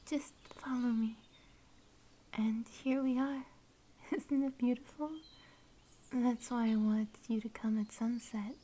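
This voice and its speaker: A woman voicing a shy, sweet young werewolf girl in an ASMR roleplay, speaking in soft, breathy whispers with a gentle, tender manner. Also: a little high. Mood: shy, affectionate. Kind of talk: ASMR roleplay, intimate storytelling.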